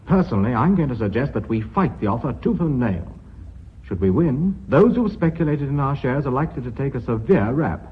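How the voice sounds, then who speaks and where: Husky, cautious company director. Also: male voice, medium pitch. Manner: formal, dry, deliberate. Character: stern, cautious, principled English company director. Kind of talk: British radio drama acting. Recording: dull old radio recording.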